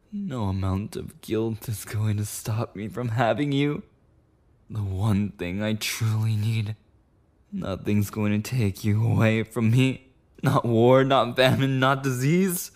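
A man's voice: on the low side, darkish tone. A man playing a clingy, possessive yandere boyfriend in an intimate ASMR roleplay monologue, rambling and cooing tenderly with lapses into whispers. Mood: fervent, possessive.

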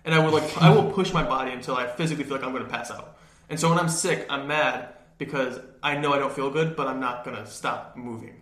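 A young man, a podcast co-host who is feeling sick and tired, telling animated stories in casual chat. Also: mid-range voice. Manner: fast, animated storyteller, acting out quotes. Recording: roomy echo.

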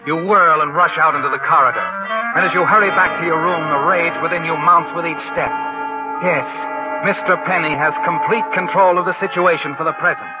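A man's voice: fairly high pitch, a little fast, natural voice. Vintage radio thriller narrator, male, played by an actor: ominous, insinuating, thin broadcast sound, prominent music behind. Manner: insinuating, suspenseful, rhetorical tag questions. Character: ominous male narrator addressing the schemer as you. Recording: tinny old radio broadcast over music.

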